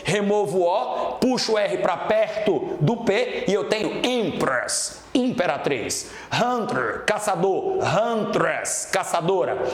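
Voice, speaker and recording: A Brazilian man teaching a grammar lesson as an English teacher, explaining didactically and checking that students follow. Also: high-pitched. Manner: didactic, checks understanding often. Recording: roomy, echoing room sound.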